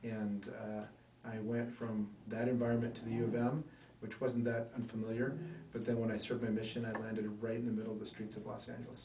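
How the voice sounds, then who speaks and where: A man from Minnesota giving an oral history interview, talking in a thoughtful, measured way with many fillers. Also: on the low side, level tone, background noise. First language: English. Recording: echoey room, far mic, phone-like band.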